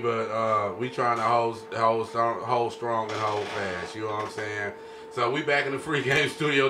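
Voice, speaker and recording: American guy hosting a podcast, bright forceful voice, repeats himself, bit of background noise. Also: medium pitch. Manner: repeats phrases, peppers talk with "you know what I'm saying". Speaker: podcast host. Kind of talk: casual podcast chat. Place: small room set up as a podcast studio. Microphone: studio mic on boom arm.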